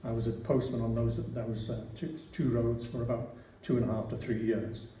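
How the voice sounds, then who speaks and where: An older man with a Liverpool accent speaks at a public hustings in a large church hall, talking in a chatty, anecdotal way with frequent restarts. His voice is very dark and mellow. He is picked up from a distance, and the hall echoes around him over a faint hiss. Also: mid-range voice, little pitch movement, moderate pace. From Liverpool, England. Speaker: local council candidate. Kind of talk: hustings speech.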